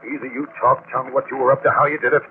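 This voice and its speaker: An actor playing a tough, grim, commanding ship's captain in 1940s radio drama style. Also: male voice, medium pitch, little pitch movement, very fast, phone-quality sound, natural voice. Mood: threatening. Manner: forceful, commanding. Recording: thin, noisy vintage radio recording.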